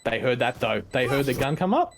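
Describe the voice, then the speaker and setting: A man's voice: medium pitch, very animated, brisk, gravelly, slight background noise. A man casting esports, exclaiming at plays and then breaking them down. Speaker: esports color caster. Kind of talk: excited esports commentary.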